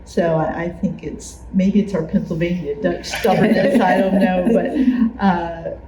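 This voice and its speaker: A woman's voice: on the low side, fairly even intonation, a little slow, a loud crowd. A woman reminiscing casually in an interview, in a hesitant, conversational way. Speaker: longtime church member. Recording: echoey room with clear background noise.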